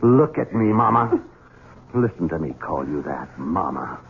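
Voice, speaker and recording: A man's voice: low voice, very animated, unhurried, slight background noise, full character voice. An actor playing a resentful, menacing man in his thirties ruled by his mother, in a theatrical, sardonic vintage radio mystery style. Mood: resentful, agitated. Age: 30s. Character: bitter, resentful grown son, 38, menacing and mother-dominated. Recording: dull lo-fi vintage radio, music behind.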